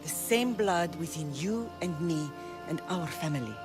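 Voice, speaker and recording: An actress playing a mother who gravely tells her young daughter about the family's heroic bloodline. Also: low voice, lively intonation, over music. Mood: solemn. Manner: solemn, measured storytelling. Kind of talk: game cutscene acting. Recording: loud orchestral score behind.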